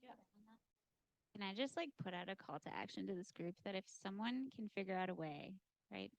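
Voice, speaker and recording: A woman's voice: medium pitch, expressive, a little fast, gravelly, no echo, rough recording. A woman on a panel making a passionate, emphatic call to action. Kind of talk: panel discussion.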